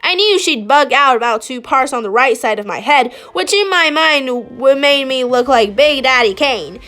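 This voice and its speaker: A woman with a high-pitched voice reads a children's story aloud. Her delivery is expressive and animated, with dramatic emphasis on key phrases. Faint music plays behind her. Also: moderate pace. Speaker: storybook reader. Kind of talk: expressive read-aloud of a children's picture book.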